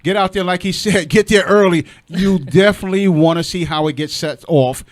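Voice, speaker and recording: A man's voice: high-pitched, brisk. A man hosting a radio show in a casual chatty interview style, effusive and repeating phrases for emphasis.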